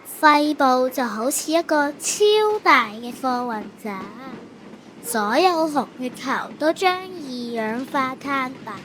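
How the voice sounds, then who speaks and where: A woman's voice: high-pitched, takes it slowly, a bit bright, slight background noise. A woman with a Hong Kong Cantonese accent narrating a manga story expressively, like a storybook reader.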